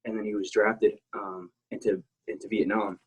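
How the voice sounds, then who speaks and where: A man's voice: on the low side, little pitch movement, normal speed, slight background noise, rough recording. A man in his thirties from South Texas, a military veteran talking as an interview guest, rambling and blunt, with many restarts and fillers. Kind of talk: casual interview talk.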